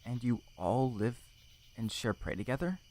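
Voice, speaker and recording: A man's voice: medium pitch, big pitch swings, normal speed, darkish tone, natural voice, low-quality recording. A man voicing a curious, naive young house cat, hesitant and questioning, in an audiobook drama. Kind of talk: audiobook fandub acting.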